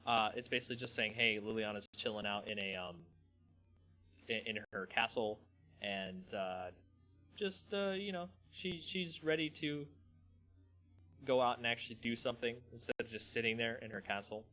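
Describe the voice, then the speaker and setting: A man hosting a live stream in a casual, slangy, rambling way, with halting pauses. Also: medium pitch, bright, forceful tone, faint music behind. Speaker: host of a card game channel. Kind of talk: casual live stream chat, then dramatic story reading.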